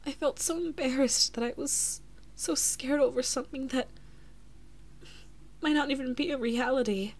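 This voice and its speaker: Woman acting breathy, high-voiced, devoted anxious princess. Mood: ashamed, apologetic. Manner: soft, breathy, halting, emotional delivery with frequent whispering. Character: devoted, anxious big-sister princess and lover, tender and self-reproaching. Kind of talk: intimate audio roleplay, ASMR-like.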